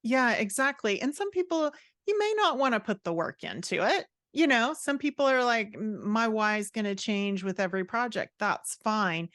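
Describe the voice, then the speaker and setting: A woman's voice: mid-range voice, a bit bright, no room sound, clean studio sound. A woman speaking as a guest writing coach, explaining her ideas in a conversational, encouraging and reflective way. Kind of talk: conversational advice in a podcast interview.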